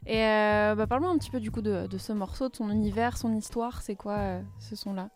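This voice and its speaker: A woman's voice: medium pitch, fairly quick, faint music behind. A woman hosting a radio show, upbeat and casual as she interviews a guest. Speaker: radio host.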